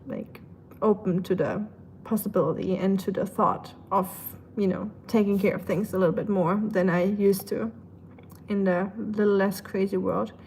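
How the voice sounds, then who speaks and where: A woman's voice: medium pitch, steady tone, moderate pace, a little mellow, low-quality recording. A woman with a German accent chatting casually to livestream viewers, thinking aloud in a rambling way with dry humor. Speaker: livestream host. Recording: clear background ambient noise.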